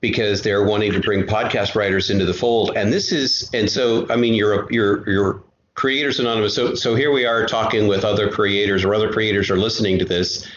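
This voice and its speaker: A man's voice: fairly low pitch, steady tone, brisk. A man talking as a podcast guest in a casual, emphatic way, repeating words for stress. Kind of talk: casual interview chat. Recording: echoey room, dull lo-fi, faint noise.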